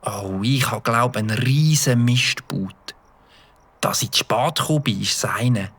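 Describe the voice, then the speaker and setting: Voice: mid-range voice, unhurried, a bit bright, slightly rough. An adult voicing a young Swiss German boy who stammers with fillers, in a children's audio drama. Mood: worried. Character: young boy, mischievous but sheepish. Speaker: child character.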